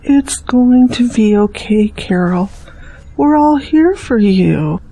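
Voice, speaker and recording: Woman acting comforting loyal friend, audio drama, unhurried pace, warm relaxed tone, caring. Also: a little high, heavily acted, poor audio.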